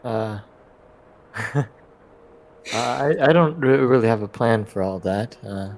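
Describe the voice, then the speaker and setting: A man playing a tabletop role-playing game, improvising in-character dialogue in a halting, hesitant way with trailing phrases. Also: mid-range voice, darkish tone.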